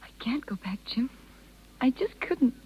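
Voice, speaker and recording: Earnest high-voiced young circus girl, old radio. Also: animated, a little slow, darkish tone, husky, full character voice. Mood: anguished, wistful. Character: young circus rider woman, tender, earnest, self-sacrificing. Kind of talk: 1930s radio drama acting. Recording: muffled 1930s radio broadcast.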